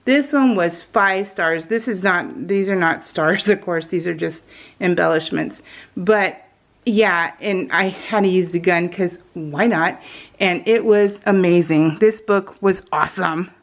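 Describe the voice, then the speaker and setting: A woman's voice: on the low side, unhurried. A woman chatting casually while crafting on her vlog, rambling off on tangents in a jokey, self-deprecating way. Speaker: craft vlogger.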